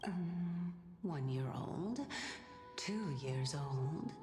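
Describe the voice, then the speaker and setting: An elegant otherworldly female spirit from a video game, amused and curious as she teases. She speaks slowly and theatrically in a lowish voice, drenched in long reverb with music playing loudly behind her. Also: lively intonation. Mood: teasing, probing. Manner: slow, amused, theatrical, formal phrasing. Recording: echoey, long reverb over loud music.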